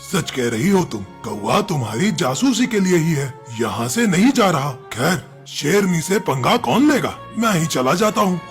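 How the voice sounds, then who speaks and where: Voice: medium pitch, big pitch swings, normal speed, few pauses. An actor voicing a menacing, swaggering animal predator in a children's cartoon fable, with exaggerated delivery. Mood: doubtful, haughty. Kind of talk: cartoon story voice acting. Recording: music behind the voice.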